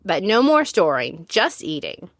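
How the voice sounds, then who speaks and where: A woman's voice: on the low side, big pitch swings, measured pace, a bit bright, no room sound, clean studio sound, full character voice. A woman playing a bossy but friendly female party host in a children's animal story. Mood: scolding.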